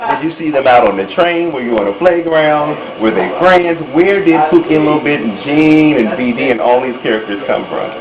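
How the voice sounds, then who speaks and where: A man's voice: fairly high pitch, expressive, moderate pace, hardly any pauses. A man doing a chatty, effusive on-the-spot interview as the interviewer. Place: busy venue after a stage show. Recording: muffled sound, noisy crowd.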